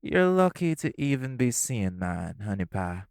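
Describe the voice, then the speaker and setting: A man's voice: mid-range voice, sing-song, a little slow, gravelly, very noisy. An actor playing a smug, catty male crime businessman who needles the others with sarcasm. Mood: haughty. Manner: sarcastic, provoking, flirtatious jabs. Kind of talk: audio roleplay acting.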